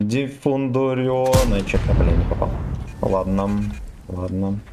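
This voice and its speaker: A man's voice: lowish voice, a little slow, heavy background noise. A young man streaming a tank game, joking with chat and swearing freely. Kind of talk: casual game stream chatter.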